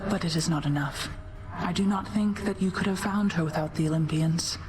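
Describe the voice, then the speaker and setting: Actress as ancient night goddess, low, measured and solemn, over music. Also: female voice, natural voice.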